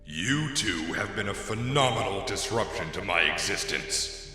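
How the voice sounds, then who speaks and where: A man's voice: on the low side, expressive, normal speed, music in the background. A man playing a skeletal pony of death, weary, formal and pedantic, with an echo effect, in a fan audio drama. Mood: dry, formal. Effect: heavy echo reverb. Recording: very echoey with long reverb.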